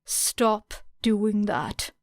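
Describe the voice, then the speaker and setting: Woman playing a prickly, slow-speaking young woman. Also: medium pitch, animated, dry recording, studio quality. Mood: defiant. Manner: scoffing, curt, sarcastic. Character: prickly, defiant, sarcastic, sweary young woman. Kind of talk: audiobook character voice.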